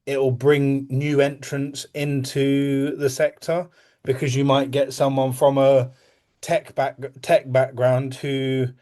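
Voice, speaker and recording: A man's voice: mid-range voice, completely flat delivery, slow, darkish tone. A man hosting an interview podcast, asking questions and agreeing with his guest in a plain, easygoing way. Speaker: podcast host.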